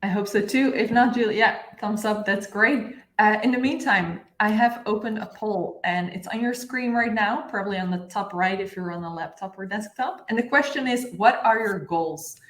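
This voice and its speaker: A woman's voice: medium pitch, moderate pace, hardly any pauses. Echoey-sounding woman hosting a webinar. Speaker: webinar host. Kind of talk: live online webinar presenting. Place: bright office room with glass walls, indoors. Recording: echoey room on a video call.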